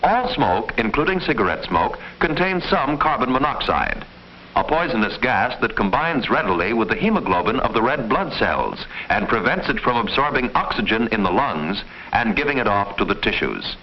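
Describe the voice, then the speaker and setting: A man reading formal, measured, didactic scripted narration in the style of a mid-century educational film. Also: medium pitch, very animated. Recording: muffled, noisy old film soundtrack.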